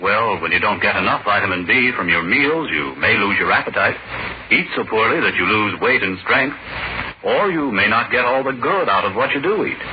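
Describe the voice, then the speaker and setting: A male announcer with a formal, measured delivery of scripted 1940s radio narration and sponsor copy, on a muffled, noisy recording. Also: fairly low pitch, expressive.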